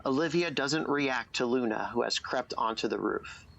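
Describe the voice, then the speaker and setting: A man with a creaky voice narrating an audio drama from a script. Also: medium pitch, moderate pace, a bit bright, lo-fi sound.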